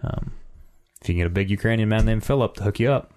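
A slightly hoarse man co-hosting a podcast, rambling and swearing casually while acting out little quotes. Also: lowish voice, fast, darkish tone. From Canada. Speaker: podcast co-host. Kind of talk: casual chat.

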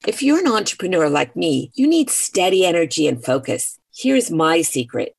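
A woman's voice: lowish voice, big pitch swings, normal speed, creaky, slightly rough. A woman reading a scripted radio advertisement in an upbeat, persuasive way. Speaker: executive producer reading an ad.

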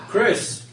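A man with a head cold and a husky voice, running a tabletop game among friends and teasing them as he reads out numbers. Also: medium pitch, pushed and bright. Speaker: game master. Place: room with friends around a table. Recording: echoey room, distant mic, noisy.

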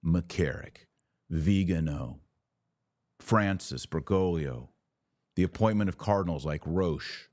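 An American man hosting a podcast, giving religious commentary off the cuff in an emphatic, preachy way with deliberate pauses and repetition. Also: deep voice, darkish tone, dry recording. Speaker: podcast host.

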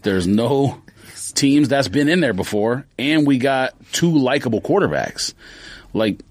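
A man's voice: mid-range voice, expressive, a little slow, no echo, studio quality. An American man co-hosting a casual sports podcast, talking in slang and reasoning his way through counterpoints. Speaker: podcast co-host. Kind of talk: casual sports debate and chat.

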